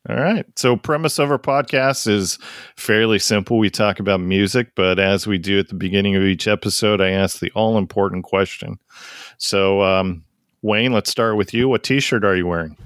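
A middle-aged man hosting a music podcast, joking his way through relaxed introductions. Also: fairly low pitch, moderate pace, no room sound, clean studio sound. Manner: jokey, easygoing introductions. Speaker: podcast host. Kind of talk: casual podcast chat.

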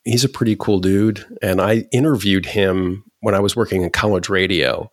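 Halting deadpan man, low voice. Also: no echo, studio quality. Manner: halting, many restarts and fillers. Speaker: podcast host. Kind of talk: casual conversation.

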